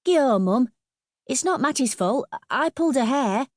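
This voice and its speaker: A woman's voice: high-pitched, expressive, normal speed, darkish tone, no room sound, clean studio sound, pitched above the performer's own voice. A woman voicing an anxious, pleading young schoolboy in an audiobook. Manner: pleading, urgent. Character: pleading ten-year-old Northern schoolboy, cheeky and anxious.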